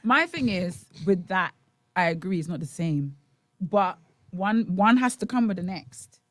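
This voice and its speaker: A woman's voice: fairly low pitch, lively intonation, unhurried, no room sound, studio quality. A woman co-hosting a morning show in casual, emphatic, opinionated chat. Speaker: co-host of a morning radio show.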